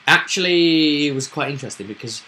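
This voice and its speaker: Male game master, bright medium-pitched voice, casual storytelling recap, some room noise. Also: expressive, brisk, low-quality recording.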